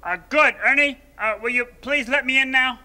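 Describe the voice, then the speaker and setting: Fretful male puppet roommate, high-pitched and sharp, exasperated and pleading. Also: moderate pace, smooth, long reverb tail, exaggerated voice. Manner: fretful, pleading, prone to frantic outbursts. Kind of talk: children's TV puppet comedy.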